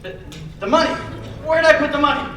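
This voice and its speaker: A young man playing a flustered, absent-minded older uncle with a halting, theatrical delivery in an old-fashioned radio play. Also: extremely high pitch, lively intonation. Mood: muddled, friendly. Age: young adult. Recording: echoey live stage, far mic, faint music.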